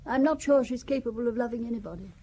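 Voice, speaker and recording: A woman's voice: a little high, fast talker, dark tone, a little hiss or hum, lightly acted. An older woman playing a genteel, chatty French widow in an audio drama, confiding and wistful as she reminisces. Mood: reminiscing. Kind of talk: audio drama acting, conversational storytelling.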